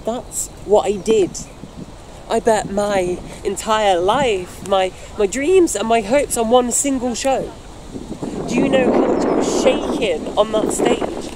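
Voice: mid-range voice, slow, darkish tone, natural voice. An actor as a bitter young musician, noisy outdoors. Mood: angry, wounded. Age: young adult. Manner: emphatic, reproachful, repeating phrases. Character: bitter, wounded young musician confronting an old best friend. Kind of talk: live theatre dialogue. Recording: noisy outdoor location recording.

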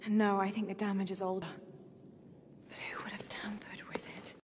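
A woman's voice: mid-range voice, little pitch movement, normal speed, a little hiss or hum, natural voice. An actress playing a determined, scholarly young woman archaeologist in a naturalistic game cutscene, intent and thoughtful. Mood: intent, puzzled. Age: young adult. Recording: music behind the voice.

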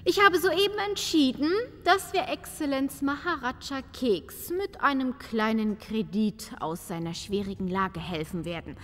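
Haughty countess, female actor: fairly high voice, unhurried, affected courtesy, audio play. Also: a bit bright. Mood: haughty decisiveness. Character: haughty, gracious noble countess. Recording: reverberant hall-like sound.

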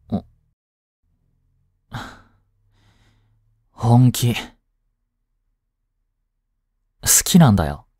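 Man playing a jealous teenage boy in love, casual and hesitant, unhurried pace, widely varied intonation, romantic audio drama. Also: medium pitch, dark tone, breathy edge, no room sound, studio quality. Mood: earnest, pressing. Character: lovestruck, jealous teenage schoolboy childhood friend. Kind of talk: Japanese romantic voice drama acting.

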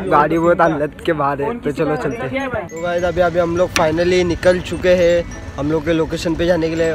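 A young man talking into a phone held at arm's length beside an outdoor road. His voice sits a little high, and he rambles along, circling back with fillers and restarts. Music plays clearly behind him. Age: young adult. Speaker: vlogger. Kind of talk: casual travel vlog. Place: outdoors by a roadside.

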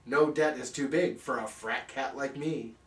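A man's voice: medium pitch, fairly even intonation, unhurried, a bit bright, natural voice. A man voicing a gleeful, boastful frat-house trickster cat in a rhyming storybook parody. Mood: smug confidence. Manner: boastful, laughing, breezy. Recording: roomy, slightly distant microphone.

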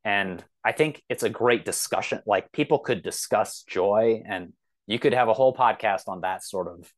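Male podcast guest, lowish voice, casual discussion, halting but enthusiastic, thinking aloud.

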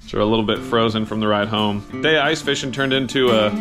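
A man's voice: on the low side, fast talker. Easygoing thirties male vlogger, outdoor handheld selfie-cam, music bed under voice. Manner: easygoing, chatty, upbeat. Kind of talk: casual vlog talk to camera. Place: outdoors on a frozen lake in snowy woods. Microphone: camera held at arm's length.

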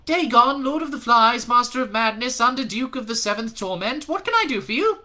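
A man doing Dagon, a shrill booming demon lord. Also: expressive, a little fast, bright, forceful tone, heavily acted. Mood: pompous. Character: sinister male demon lord on the radio.

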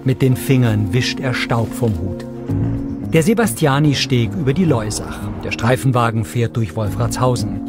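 A man's voice: medium pitch, moderate pace, loud music behind the voice. A man reading scripted audio description narration for a television series.

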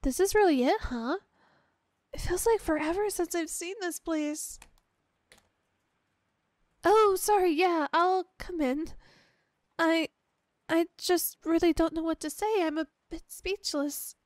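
A woman's voice: very high voice, slow, long pauses, no echo. A woman playing a clingy, obsessive young girlfriend in an ASMR roleplay, sweet, gushing and emotional, with an apologetic, hesitant way of talking. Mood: hesitant, shy.

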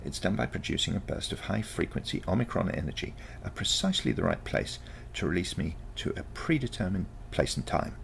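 A confident teenage granddaughter from a storybook future, acted out by a male reader. The delivery is lively and swooping with heavy creak, yet matter-of-fact and unconcerned. There is clear noise behind it. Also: low voice, brisk, few pauses, heavily acted. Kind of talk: storybook character dialogue.

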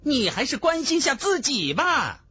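Coquettish female villain, acted by a man, gloating, teasing, high lifted voice, fantasy storybook. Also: very animated, a little slow, sharp tone, faint music behind, heavily acted. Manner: flirtatious, mocking.

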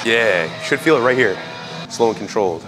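A man's voice: lowish voice, expressive, normal speed, music in the background. A young man talking casually on a lapel mic in a big indoor gym, joking and coaching through a workout. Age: young adult. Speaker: fitness vlogger. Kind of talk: casual workout vlog talk.

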